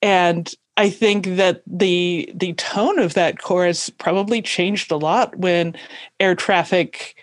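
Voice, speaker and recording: A woman's voice: fairly low pitch, steady tone, takes it slowly, dry recording, clean studio sound. A woman, hosting a podcast and interviewing in a conversational way.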